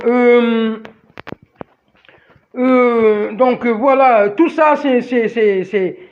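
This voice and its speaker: A man who moved to France and speaks French as a second language, delivering an emphatic, repetitive rant straight to his listeners with many restarts. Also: very high voice. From outside France. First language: not French. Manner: addresses listeners directly. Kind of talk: ranting monologue to camera. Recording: dull lo-fi recording.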